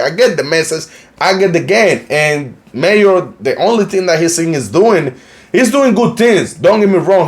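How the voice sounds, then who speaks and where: Young colombian guy, spanish accent, rambling vlog chat, lots of "you know like", voice a bit on the high side. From Cartagena, Colombia. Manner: rambling, heavy use of "you know, like", frequent restarts. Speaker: YouTube news channel host. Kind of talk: casual commentary vlog.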